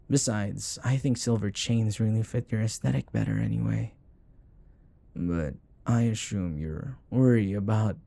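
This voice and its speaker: Man acting a gravelly, low-voiced doting boyfriend. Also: heavily acted. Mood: doting, hesitant. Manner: soft, hesitant, coaxing murmur with sighs. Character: rich older possessive boyfriend, sweetly doting, obsessive, apologetic. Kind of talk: intimate romantic audio roleplay.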